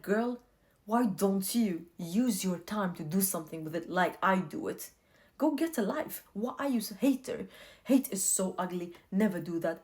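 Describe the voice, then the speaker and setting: A woman's voice: medium pitch, darkish tone. A young woman whose first language is not English, talking casually to camera and giving earnest life advice, often repeating herself for emphasis.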